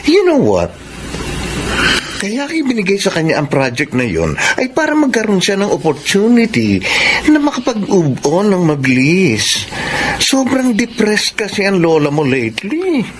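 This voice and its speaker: A man's voice: sits high, wildly swooping pitch, a little slow, full character voice. A man playing a flamboyant, gossipy modeling agent in a Tagalog radio drama, effusive and breezy. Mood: breezy, matter-of-fact. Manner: effusive, gossipy, code-switching. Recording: clear background ambient noise.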